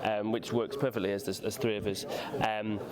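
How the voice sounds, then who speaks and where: A man explaining casually in an interview, a little halting and repeating words. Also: on the low side, level tone, crowd noise. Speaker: puppeteer interviewee.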